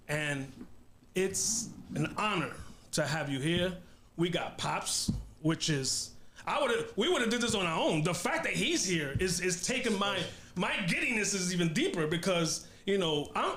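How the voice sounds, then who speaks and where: A man in his forties from the Bronx with a New York accent, hosting a casual interview in an excited, restless way. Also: sits high. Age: 40s. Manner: animated, excitable, frequent restarts. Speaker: host.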